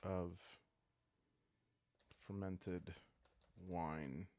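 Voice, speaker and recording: A man calmly narrating a solo journaling game, soft-spoken and musing, halting as he improvises aloud. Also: deep voice, dry recording. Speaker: solo roleplayer and show host.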